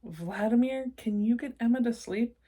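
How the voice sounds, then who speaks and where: A woman's voice: a little high, moderate pace, dark tone, voice pushed up, natural voice. A woman voicing an earnest young child who pleads and exclaims in a storybook. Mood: worried. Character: young child narrator pleading over a beloved monster.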